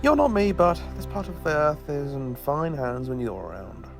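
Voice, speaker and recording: A man's voice: fairly high pitch, big pitch swings, moderate pace, gravelly, music in the background. A man playing an eccentric, kindly alien professor who travels through time, confident but given to hesitant asides in an audio drama. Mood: sincere, proud.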